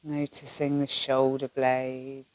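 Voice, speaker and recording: A woman teaching yoga nidra, leading a guided relaxation in a soothing, gentle manner with long pauses between phrases. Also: extremely low pitch, completely flat delivery, no echo, rough recording.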